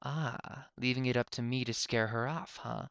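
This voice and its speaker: A sardonic unicorn stallion professor from a storybook, acted by a male reader, curt and irritable. The voice is clear and pitched a little above an everyday male tone, with mocking, precise phrasing, recorded very dry. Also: moderate pace, fairly bright tone, no room sound.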